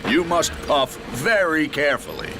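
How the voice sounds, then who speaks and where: An actor playing a gruff, cautioning dockside crane in a children's cartoon. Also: male voice, a little high, lively intonation, normal speed, background noise, natural voice. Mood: instructing.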